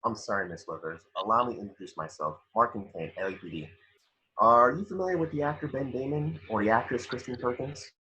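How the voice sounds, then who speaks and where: A man's voice: mid-range voice, brisk, bright, forceful tone, lightly acted, poor audio. A man playing a formal, grave police detective in an amateur table reading of a film script. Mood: polite, businesslike. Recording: home recording.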